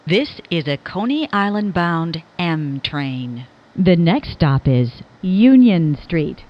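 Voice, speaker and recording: A woman reads scripted subway announcements as a recorded train announcer, in a measured, formal, clearly enunciated manner. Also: on the low side, big pitch swings, highs cut off.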